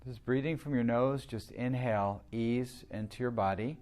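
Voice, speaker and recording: A man leading a guided meditation as a teacher, speaking in a soothing, unhurried way with long pauses. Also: medium pitch, darkish tone.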